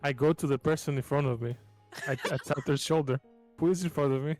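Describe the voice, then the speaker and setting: A man's voice: a little high, steady tone, fairly quick, darkish tone. A man playing a tabletop roleplaying game, talking casually in character in a blunt, sarcastic way. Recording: music behind the voice.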